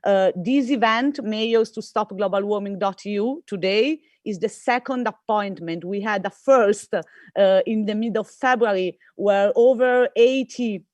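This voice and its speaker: A woman's voice: medium pitch, unhurried, darkish tone, no echo. A woman with an Italian accent, hosting an online meeting and hesitating often with fillers. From Italy. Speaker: event host. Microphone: video call.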